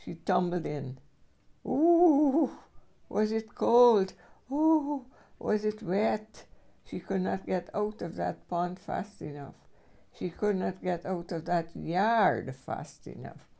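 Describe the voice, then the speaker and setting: Older woman, gravelly creaky voice, mellow tone, storybook read-aloud, expressive storyteller phrasing. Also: medium pitch, slow. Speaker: great-grandmother reading aloud to children.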